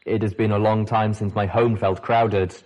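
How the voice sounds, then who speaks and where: A man voicing a male helper who talks through a sprite robot, in a mechanical monotone, for a storybook reading. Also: fairly low pitch, moderate pace, lightly acted. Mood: flat.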